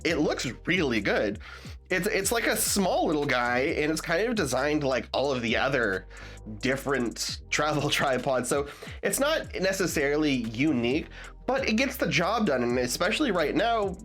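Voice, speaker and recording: Young male gear vlogger, slightly stuffed up, very animated pitch, loose and rambling. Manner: rambling, restarts often, asks viewers "you feel me?". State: stuffed up after being sick. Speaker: tech vlogger. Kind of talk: casual rambling product talk.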